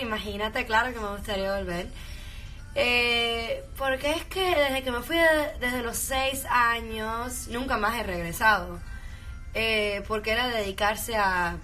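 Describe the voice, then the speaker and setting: A woman's voice: on the high side, pushed and bright. A young woman from Venezuela with a Cuban Spanish accent, chatting casually with frequent laughs, fillers and restarts. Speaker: actress answering fan questions. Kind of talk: casual chat, switching between Spanish and English.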